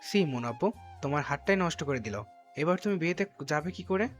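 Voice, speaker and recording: A man's voice: on the high side, animated, a little fast, natural voice. A man playing a cheeky, mouthy younger cousin in an audio story. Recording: music behind the voice.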